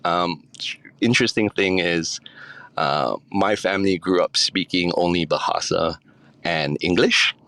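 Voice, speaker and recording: Low-voiced man from Singapore, hesitant. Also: unhurried, sharp tone, dry recording. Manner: hesitant, many ums and restarts. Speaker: chef and butcher, podcast guest. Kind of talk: relaxed interview answers.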